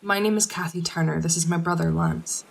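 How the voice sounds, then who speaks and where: A woman's voice: on the low side, steady tone, fairly quick, vocal fry. A woman acting a composed, polite task force officer in an audio drama, speaking formally and earnestly.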